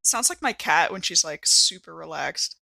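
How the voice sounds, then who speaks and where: A woman's voice: low-pitched, lively intonation, normal speed, creaky, very noisy. A woman playing a tabletop role-playing game, chatting casually about her character's moves.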